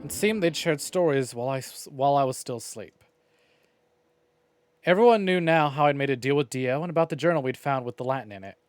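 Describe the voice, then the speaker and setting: A man's voice: mid-range voice, lively intonation, a little fast, no room sound. A male streamer reading a visual novel aloud, with playful, wry asides. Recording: game music behind the voice. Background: clear game music.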